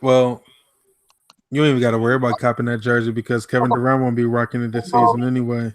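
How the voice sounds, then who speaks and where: A man hosting a casual boxing podcast, laid-back and tipsy, talking in a slow, mellow way. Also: mid-range voice, completely flat delivery, no room sound. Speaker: podcast host. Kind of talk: casual chat.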